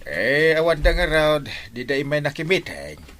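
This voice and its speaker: A man with an Ilocano accent acting an excitable village captain in a comedy radio drama, full of drawn-out exclamations. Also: a little high, a little hiss or hum. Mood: explaining, animated.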